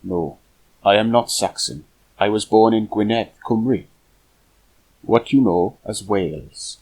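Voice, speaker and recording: A man's voice: lowish voice, unhurried, darkish tone, husky, poor audio. A man reading the part of a proud, cheerful young Welsh boy with formal storybook phrasing.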